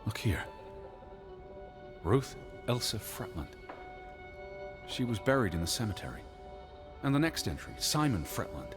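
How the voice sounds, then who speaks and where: An actor playing an anxious, bookish 1920s gentleman searching for his missing sister in a video game drama, speaking earnestly in precise, formal phrases. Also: lowish voice, big pitch swings, unhurried, pauses often, over music. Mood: grave, brooding.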